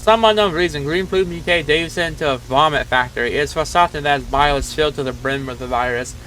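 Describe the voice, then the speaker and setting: Casting: male reaction host, bright and slightly high-pitched voice, informal commentary and read-aloud delivery. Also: quick pace, slight background noise. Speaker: host reacting to a horror video. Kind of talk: casual reaction commentary, reading on-screen text aloud.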